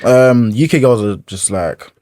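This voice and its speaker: A young man, telling stories in a boastful, lively, slangy way. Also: mid-range voice, unhurried, dry recording, clean studio sound. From London. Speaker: interviewee. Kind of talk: casual chat.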